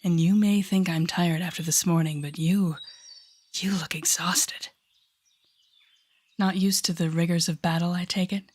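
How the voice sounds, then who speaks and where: A woman playing a sardonic, menacing telepathic villain in an audio roleplay, mocking and theatrical with deliberate pauses and a husky, breathy voice. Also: fairly low pitch, steady tone, a little mellow. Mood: taunting, menacing. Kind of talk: audio roleplay acting addressed to the listener.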